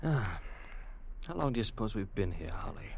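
A man playing an eager, excitable explorer in expressive old-time radio adventure acting. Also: on the low side, brisk, dull audio. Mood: curious, wary. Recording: noisy vintage radio recording.

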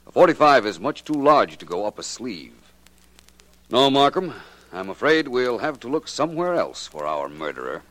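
An actor playing a shrewd, composed detective in a 1940s radio drama, measured, assured and formally polite as he probes. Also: mid-range voice, very animated, a bit bright, dry recording. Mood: calm certainty.

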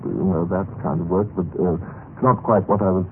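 Timid, hesitant clerk on muffled old radio. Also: male voice, deep voice, fairly even intonation, a little fast, a little hiss or hum. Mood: flustered, servile. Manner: hesitant, stammering, deferential. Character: timid, apologetic dead government clerk narrating his own death. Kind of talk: radio drama acting, first-person storytelling.

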